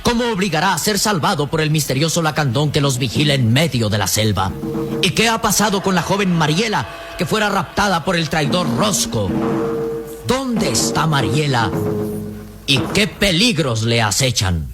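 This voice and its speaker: A man's voice: a little high, big pitch swings, normal speed, music in the background. A man narrating a radio serial in a dramatic, suspenseful, declamatory manner.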